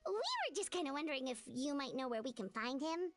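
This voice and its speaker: Squeaky chirpy little fairy companion. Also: female voice, very animated, quick pace, noisy background. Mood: exasperated. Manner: chirpy, childlike, blunt. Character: small childlike fairy companion, chirpy, easily exasperated. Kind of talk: anime-style video game voice acting. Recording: music behind the voice.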